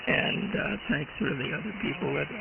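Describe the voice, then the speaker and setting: A man with a croaky voice hosting an amateur radio net in a halting, rambling, casual way over an AM radio transmission. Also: fairly high pitch. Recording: narrow, heavily static-filled AM shortwave radio.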